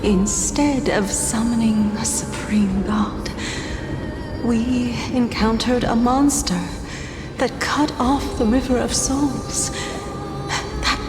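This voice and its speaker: A woman's voice: on the high side, steady tone, slow pace. An actress playing a solemn, grieving noblewoman of a ruined city in a video game, speaking formally. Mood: mournful, solemn. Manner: formal, sorrowful, storytelling. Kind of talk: video game dramatic monologue. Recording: long echoing reverb under loud music.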